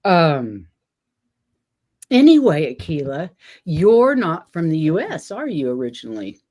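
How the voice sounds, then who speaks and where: A woman hosting a casual livestream chat, talking at an unhurried pace with frequent fillers and soft laughs. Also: low voice, very animated. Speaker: host.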